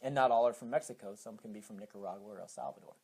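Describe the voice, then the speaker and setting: A man's voice: lowish voice, very fast, darkish tone, breathy edge. A man with an American accent, a teacher being interviewed, who explains things step by step with examples. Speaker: high school teacher and program coordinator, interview guest.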